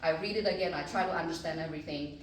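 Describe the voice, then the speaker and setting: An Indonesian-accented woman in middle age talks through her story on a panel, earnest and chatty, with plenty of ums and uhs. Her voice sits a little low. She sounds far from the mic, in a strongly reverberant room with faint noise behind. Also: very fast. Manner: earnest and chatty, with many fillers. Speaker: mathematics professor on a panel. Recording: echoey room, distant mic.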